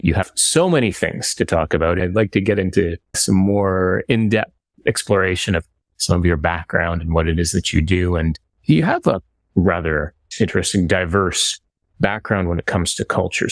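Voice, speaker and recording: A man hosting an interview over a video call, measured and inviting as he sets up questions. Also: low voice, gravelly. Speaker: host.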